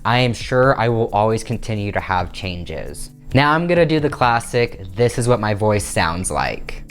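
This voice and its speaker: A man's voice: on the low side, moderate pace, soft background music. Upbeat young man vlogging on lapel mic. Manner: upbeat and candid, animated hand gestures. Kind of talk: casual vlog to camera. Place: small bedroom indoors. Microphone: clip-on lapel mic.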